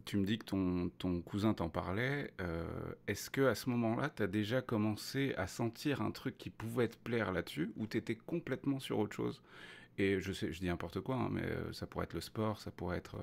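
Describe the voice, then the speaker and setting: A man's voice: lowish voice, normal speed, gravelly. A French man hosting a casual interview, asking questions with many hesitations and restarts. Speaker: host.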